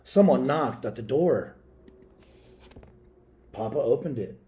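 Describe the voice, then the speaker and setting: Guy reading a kids picture book out loud, mellow voice, takes his time, dramatic pauses. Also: mid-range voice, expressive. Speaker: read-aloud storyteller. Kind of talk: children's picture book read aloud with asides to listeners.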